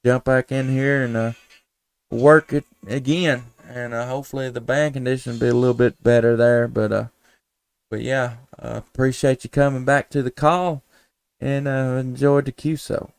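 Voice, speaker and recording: A man hosting a casual live stream as an amateur radio operator, talking in a halting, folksy, rambling way. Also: medium pitch, level tone, smooth, no echo. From Tennessee, United States.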